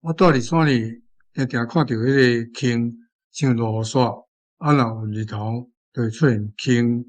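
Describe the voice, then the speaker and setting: A man with a Taiwanese accent explains wording as a language teacher. His pitch is average, and he talks informally, circling back over key phrases. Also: unhurried.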